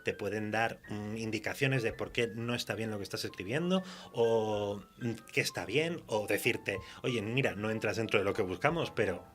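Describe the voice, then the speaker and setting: Guy from spain chatting on a radio show, animated and self-mocking, music in there too. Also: fairly low pitch, moderate pace. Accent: Spanish from Spain. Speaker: guest writer and nurse. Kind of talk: casual radio interview chat.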